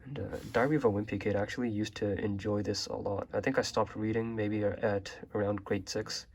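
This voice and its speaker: Young male vlogger from Hong Kong, native Chinese speaker; deep, monotone, slightly husky; relaxed and hesitant. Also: brisk. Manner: relaxed and rambling, many fillers and hesitations. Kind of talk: casual bookshelf tour.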